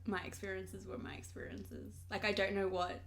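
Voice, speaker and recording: A young Australian woman chatting as a podcast guest. She sounds relaxed and conversational, with lots of "like" filler. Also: mid-range voice, normal speed, crowd noise. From Australia (Sydney). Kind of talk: casual chat.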